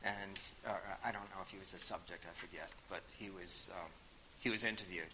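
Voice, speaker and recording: A man's voice: low-pitched, steady tone, fairly quick, background noise. An older man with a Boston accent answering questions in a frank, wry way with many fillers. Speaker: guest speaker answering audience questions. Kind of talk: casual spoken reply.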